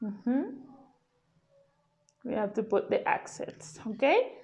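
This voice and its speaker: A woman's voice: a little high, expressive, slow, vocal fry, darkish tone. A woman language teacher giving an informal grammar lesson, explaining patiently and often checking in with the learner.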